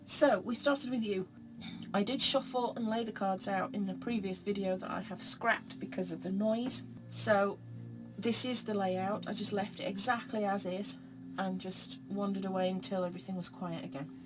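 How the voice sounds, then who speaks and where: A woman's voice: mid-range voice, fairly even intonation, normal speed, music in the background, rough recording. Throaty woman reading tarot cards. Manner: chatty, encouraging, addresses viewers directly. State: a bit throaty and croaky. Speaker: tarot reader. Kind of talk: monthly tarot reading to camera.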